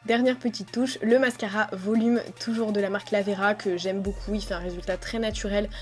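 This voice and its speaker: A young woman with a stuffy, nasal voice from a cold, narrating her skincare routine as a relaxed, chatty voice-over with frequent hesitations. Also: mid-range voice, fairly quick, soft background music. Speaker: beauty vlogger. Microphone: voice-over recorded separately.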